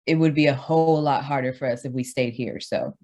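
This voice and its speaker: A woman in her thirties, from the Dominican Republic and raised in Brooklyn, tells her story as a guest on a radio show. Her voice is very low for a woman. She talks in a conversational way, with "you know" fillers and restarts, and her pace shifts between quick and slow. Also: steady tone, darkish tone. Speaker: educator, guest. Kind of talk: radio interview.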